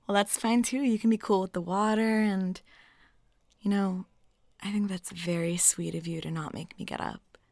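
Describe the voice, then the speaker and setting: A woman acts out a talkative, flirty waitress in an intimate audio roleplay. Her mid-pitched voice is soft and noticeably creaky, slipping toward near-whispers. She sounds lonely and smitten, recorded very dry, with no room around her. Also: steady tone, normal speed, no echo, lightly acted. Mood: playful, flirty. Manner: soft, rambling, giggly, drops into near-whispers.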